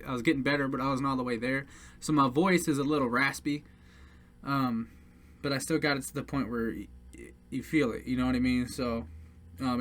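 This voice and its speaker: A man's voice: medium pitch, a bit bright. Hesitant, rambling man introducing his music. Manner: hesitant and rambling, many fillers and restarts. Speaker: independent musician presenting his songs. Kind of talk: casual talk to camera.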